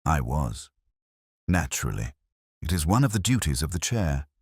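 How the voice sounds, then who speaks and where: A man's voice: extremely low pitch, sing-song, normal speed, a little hiss or hum. A man voicing a prim, self-important, easily affronted village chairwoman in a storybook mystery. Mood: haughty.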